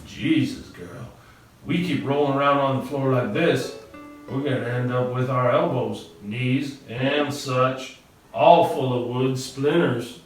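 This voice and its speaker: A man voicing a good-humored frontier marshal, talking in a low, easygoing drawl. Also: slow pace, close to an everyday voice. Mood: wry. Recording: roomy echo, distant mic, faint guitar music.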